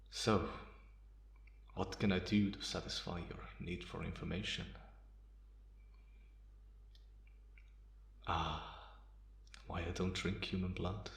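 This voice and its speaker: A man playing a calm, menacing male vampire in an ASMR roleplay, speaking in a deliberate, taunting way in a roomy, echoing space. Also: sits low, gravelly. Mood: amused, conceding. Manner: deliberate, softly chuckling, taunting. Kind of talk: ASMR roleplay, slow intimate monologue.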